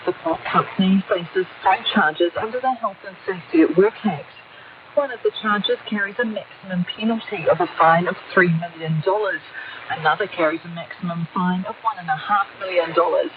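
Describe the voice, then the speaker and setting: A woman's voice: fairly low pitch, moderate pace, a crowd in the background. A woman reporter reading a scripted news report over shortwave radio. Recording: noisy narrow-band shortwave radio broadcast, distant.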